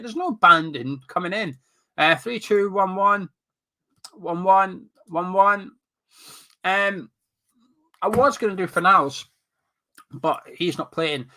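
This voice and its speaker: A man's voice: high voice, sharp tone. A Scottish man hosting a live stream from his webcam, reading out viewer comments and chatting, sniffly and blocked up with a cold. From Scotland. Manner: chatty, banters with chat, drifts off topic.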